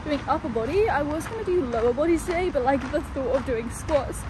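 A woman's voice: high voice, animated, brisk, background noise. A young woman in her twenties with an English accent, chatting casually in a vlog and rambling with lots of fillers. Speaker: vlogger.

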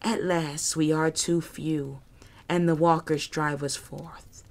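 A woman's voice: deep voice, flat delivery. Woman voicing a hissing reptilian creature. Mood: bitter. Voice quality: rasping, hissing. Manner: slow broken speech with whispered hisses. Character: hissing reptilian male creature, bitter and vengeful. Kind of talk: audiobook character voice.

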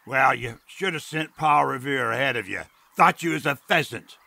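An actor playing a suspicious, prickly old farmer in an audio drama, folksy and blunt. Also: male voice, mid-range voice, normal speed, gravelly, pushed and bright, no room sound. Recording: faint outdoor insect ambience behind.

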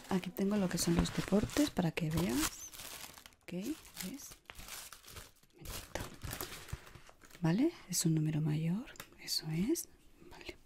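A woman in her thirties with an accent from Spain, whispering softly and soothingly in an ASMR shop assistant roleplay, close to the mic. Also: on the low side. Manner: soft, soothing whispering and murmuring. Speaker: ASMR creator playing a shoe shop assistant. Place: small indoor room.

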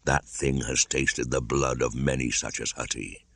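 A man voicing a wise, worldly old male panther, speaking low, slow and measured. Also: steady tone, bright, forceful tone, no echo, studio quality, exaggerated voice. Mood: knowing.

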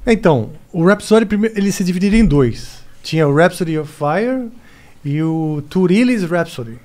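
A man's voice: high voice, animated, moderate pace, a little mellow. A man in his forties, a guest musician, telling jokey stories in a casual podcast chat in a studio. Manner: storytelling, joking. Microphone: studio mic on boom arm.

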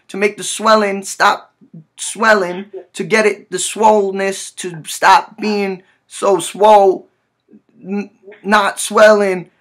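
Man acting a high-pitched whiny hurt young guy. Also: a bit bright. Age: 20s. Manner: whiny, pleading, repetitive, stammering. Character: hurt, frantic, naive young man with bleeding swollen lip. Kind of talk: prank phone call. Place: small room indoors, at a desk.

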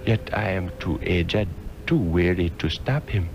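A man's voice: low voice, unhurried, vocal fry, slight background noise. An actor playing an aged, weary mystic master in a 1960s radio drama, solemn and fatherly in formal archaic phrasing. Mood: grave, fatherly. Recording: muffled old radio drama, music behind.

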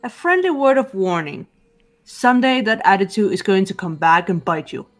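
A woman plays a cool-headed teenage girl in a video game. She speaks in a medium register, measured and stern. Music runs behind the dialogue. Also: medium pitch, lively intonation, lightly acted. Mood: quietly reproachful. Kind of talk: amateur video game voice-over. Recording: background music throughout.

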